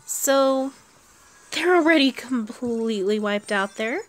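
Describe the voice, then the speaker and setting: A woman's voice: a little high, animated, slow. A woman giving casual commentary on her own gameplay, thinking aloud as she plays. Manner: thinks aloud while playing. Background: video game music.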